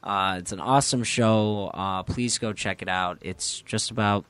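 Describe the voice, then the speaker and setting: A man's voice: on the low side, steady tone, a little slow, fairly bright tone, smooth. A man around twenty-one co-hosting a podcast, rambling and laughing often in casual chat. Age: 20s. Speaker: podcast co-host.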